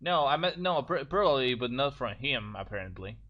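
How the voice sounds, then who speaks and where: Male sidekick for a reading show: average pitch, conversational, halting delivery, little room sound. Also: animated, dry recording, lo-fi sound. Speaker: co-host reacting to the story. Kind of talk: casual chat.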